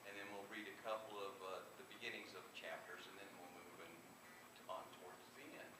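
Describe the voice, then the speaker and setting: A man with a fairly low voice gives an informal talk as an author at a live reading. His delivery is halting and hesitant. He is heard from a distance in an echoey room. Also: flat delivery. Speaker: author presenting own book. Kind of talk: informal live book presentation. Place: convention room, live event. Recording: echoey, noisy room from far off.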